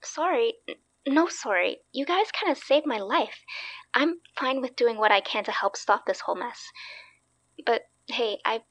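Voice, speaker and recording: A woman playing an eager, plucky young woman in an audio drama, chatty and a little halting. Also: a little high, lively intonation, a bit bright. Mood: earnest, curious. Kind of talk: naturalistic audio drama acting.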